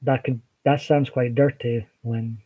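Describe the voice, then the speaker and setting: A Scottish man hosting a casual livestream, chatting with viewers and answering their comments in a relaxed, meandering way. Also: medium pitch, a little slow, breathy edge. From Scotland. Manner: chatty, relaxed, with hesitant restarts. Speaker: livestream host.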